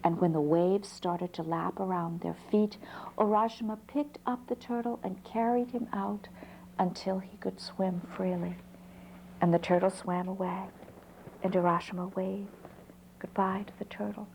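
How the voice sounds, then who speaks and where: A woman storyteller narrating a folk tale in an unhurried way, pausing between short phrases. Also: medium pitch, steady tone, vocal fry, darkish tone, slight background noise, low-quality recording.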